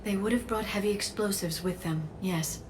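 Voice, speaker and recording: An earnest, mid-pitched alien woman voiced by an actress gives grave, precise game exposition. Clear ambient noise surrounds her. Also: moderate pace, natural voice. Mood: grave, explaining. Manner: precise, explanatory. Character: earnest female alien scholar, informed and grave. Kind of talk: video game dramatic exposition.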